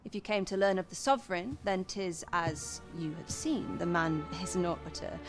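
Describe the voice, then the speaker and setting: A woman's voice: fairly low pitch, animated, moderate pace, music in the background. The coy mistress of a brothel in a fantasy game, haughty and flirtatious, her voice swooping playfully as she toys with a visitor. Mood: stern, conspiratorial. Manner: teasing, haughty, archaic formal phrasing. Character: sly, flirtatious lady who runs a pleasure house. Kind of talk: video game voice acting.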